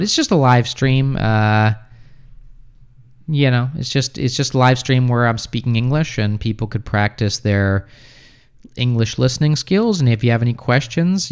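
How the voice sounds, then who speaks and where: A man in his thirties who grew up in the United States, hosting a casual English-teaching livestream from a small room at home. He reads viewer comments and answers them offhand, with lots of fillers, into a close boom mic. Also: animated, normal speed, clean tone. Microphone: studio mic on boom arm close to mouth.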